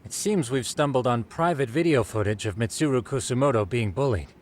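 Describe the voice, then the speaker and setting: A man's voice: mid-range voice, a little fast, close to an everyday voice. A man playing an inquisitive, analytical investigator who explains things articulately in an English game dub. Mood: analytical, curious. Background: faint background music.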